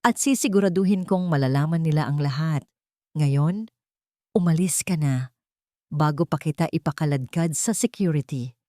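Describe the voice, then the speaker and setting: A young male CEO speaks with calm, icy control, each word measured, as a woman voices him. The voice sits low and is recorded dry. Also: dry recording, natural voice. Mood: cold. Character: cold, commanding male CEO, young adult.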